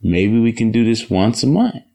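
A man playing a courteous, earnest young gentleman courting his sweetheart in an audio drama. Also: fairly low pitch, expressive, moderate pace.

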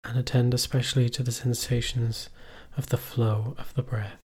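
A man leading a guided meditation as a teacher, giving calm, measured instructions with long pauses between phrases. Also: medium pitch, breathy edge.

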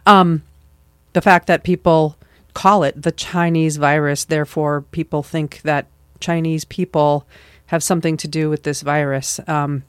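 A woman's voice: low voice, no room sound, clean studio sound. A middle-aged woman talking with her daughter in an earnest, reflective way, with many fillers. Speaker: mother. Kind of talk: casual conversation.

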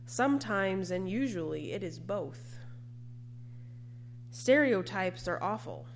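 A woman preacher delivering a scripted sermon in an earnest, measured way, often posing rhetorical questions. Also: mid-range voice, lively intonation, a little hiss or hum.

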